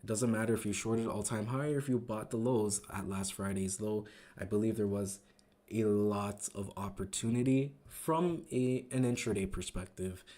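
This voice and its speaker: A man hosting a trading live stream, recapping the market in casual, upbeat talk that keeps asking "right?", his voice growing a little raspy. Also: on the low side, fairly even intonation, normal speed, mellow. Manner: enthusiastic, repeats words, asks "right?" often. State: voice getting raspy.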